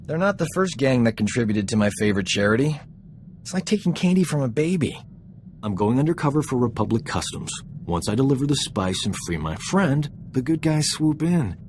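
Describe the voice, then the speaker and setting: A man's voice: medium pitch, big pitch swings, a little fast, darkish tone, slight background noise, close to an everyday voice. An actor playing a roguish, flippant combat medic and ladies' man in a video game. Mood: cocky, flippant. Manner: glib, breezy, self-satisfied, flippant.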